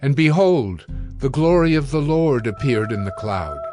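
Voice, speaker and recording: A man narrating scripture in a solemn, measured, scripted dramatic style. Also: mid-range voice, animated, music in the background.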